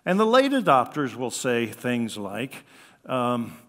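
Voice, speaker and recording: Older American preacher in a reverberant hall. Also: male voice, medium pitch, wildly swooping pitch, slow pace, a bit bright. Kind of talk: sermon, conversational. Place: church sanctuary at a lectern, indoors.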